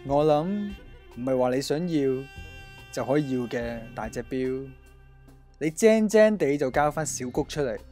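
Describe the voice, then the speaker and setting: A man's voice: mid-range voice, expressive, measured pace, dark tone, close to an everyday voice. Casting: male actor as a boastful, lovesick mob boss, Hong Kong accent, theatrical comic radio delivery, music underneath. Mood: swaggering. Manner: melodramatic, swaggering. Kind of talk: comic radio drama acting.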